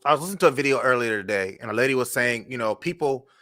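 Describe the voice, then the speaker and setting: An American man hosting a casual live interview, speaking haltingly and repeating words as he thinks. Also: mid-range voice, lively intonation, a bit bright. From Charleston, United States. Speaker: talk show host.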